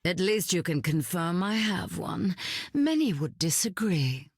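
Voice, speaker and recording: A composed, stately video game heroine. She speaks slowly and formally in a husky voice, close and dry. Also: on the low side, big pitch swings, no room sound, heavily acted. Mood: calm, wry. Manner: measured, formal. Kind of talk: video game character voice lines.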